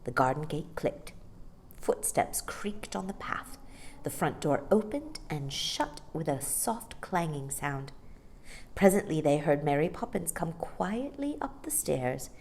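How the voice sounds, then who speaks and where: Female storytime reader for children's fiction: slightly low register, highly varied intonation, expressive narration. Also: slight background noise.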